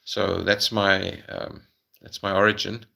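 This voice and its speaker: South African man, bright and hesitant. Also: deep voice, little pitch movement, slow, vocal fry. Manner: hesitant, with many ums and uhs and restarts. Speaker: medium answering viewer questions on a livestream. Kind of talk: casual livestream Q&A.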